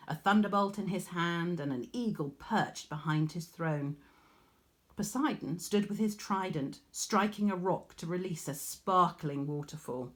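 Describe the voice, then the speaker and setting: A woman reading a story aloud in a measured, expressive storytelling manner, like a teacher. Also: lowish voice. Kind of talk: scripted storybook narration.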